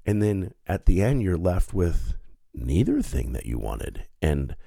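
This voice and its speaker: Very deep gravelly man with a cold. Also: sing-song, a little slow, warm tone, no echo, studio quality. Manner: earnest, reflective, conversational with filler words. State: stuffed up with a head cold. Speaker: podcast host. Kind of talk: solo podcast monologue.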